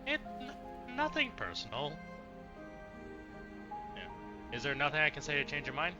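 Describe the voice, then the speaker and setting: A male let's play streamer with a lively, swinging pitch. He talks casually, reacting in asides and reading choices aloud. Game music runs behind him. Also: normal speed, lo-fi sound. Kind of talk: gameplay commentary mixed with reading dialogue options aloud.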